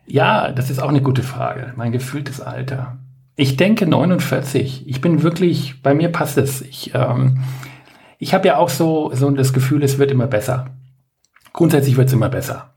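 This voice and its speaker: A man's voice: mid-range voice, normal speed, gravelly, a little mellow. A man in his late forties, a guest coach on a podcast, talking casually with lots of fillers, restarts and self-mocking jokes. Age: 40s.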